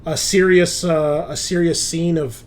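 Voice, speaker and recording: A man's voice: fairly high pitch, steady tone, a little slow, a little hiss or hum. A man narrating a tabletop game as game master, conversational and thinking aloud as he goes.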